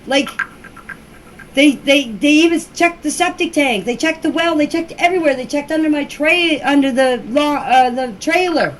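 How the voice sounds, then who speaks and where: Older lady w a high voice just answering questions, plain spoken n insistent, bit of noise on the clip. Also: moderate pace. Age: older, grandmother. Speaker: relative speaking out about a missing children case. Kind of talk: informal spoken answers to group chat questions. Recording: noisy amateur voice clip.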